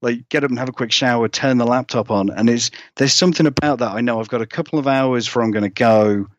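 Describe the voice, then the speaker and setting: A man's voice: mid-range voice, quick pace, dry recording, dull audio. A British man chatting casually as an interview guest, rambling and acting out remarks as he goes. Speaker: guest horror writer.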